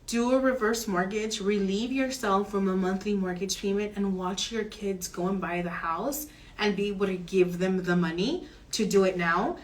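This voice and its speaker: A woman mortgage broker explaining home financing options in an earnest, steady advisory chat. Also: mid-range voice, moderate pace.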